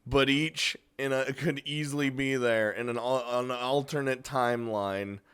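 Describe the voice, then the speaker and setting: A man's voice: mid-range voice, unhurried, fairly bright tone, clean tone. A man hosting a casual, unscripted countdown of games, chatty and crude, swearing freely. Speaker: video host and game reviewer.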